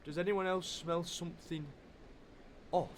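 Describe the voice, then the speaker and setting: A man's voice: sits high, fairly even intonation, measured pace. A young man with a British accent playing an easygoing teenage soldier in a First World War audio drama. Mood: casual. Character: easygoing underage British soldier, streetwise lad. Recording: noisy wartime sound effects behind.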